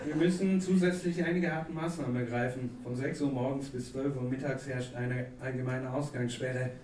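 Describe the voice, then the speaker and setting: A man's voice: mid-range voice, normal speed. Man in his 30s, Turkish native speaker, slam poet, dry satirical read, roomy live stage sound. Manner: deadpan satirical delivery, chatty asides with fillers. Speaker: poetry slam performer. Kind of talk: reading a comic slam poem. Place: theatre stage. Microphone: stand mic. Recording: echoey hall from a distance, audible noise. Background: audience laughter.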